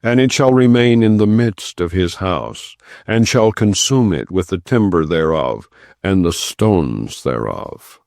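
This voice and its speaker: A man narrating an audiobook in a solemn, non-dramatized scripture reading style, with measured, deliberate phrasing and pauses between clauses. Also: sits low, animated, vocal fry, darkish tone, no room sound, clean studio sound.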